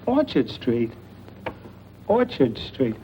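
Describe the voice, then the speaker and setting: An actor playing a talkative, inquisitive boarder in a 1940s radio drama, with a folksy, probing manner. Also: male voice, mid-range voice, wildly swooping pitch, takes it slowly. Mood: curious, probing. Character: talkative, inquisitive male boarder, former postal worker. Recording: muffled old radio recording, faint noise.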